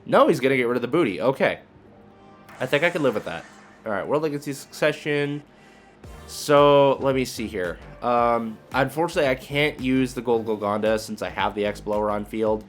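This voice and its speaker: A man's voice: medium pitch, moderate pace, faint music behind. A man giving casual gameplay commentary, thinking aloud in a hesitant, muttering way as he reasons through his moves. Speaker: video creator and card game player.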